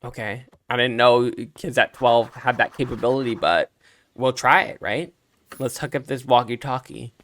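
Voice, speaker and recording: A man's voice: medium pitch, moderate pace, fairly bright tone. A man hosting a let's play with casual game commentary.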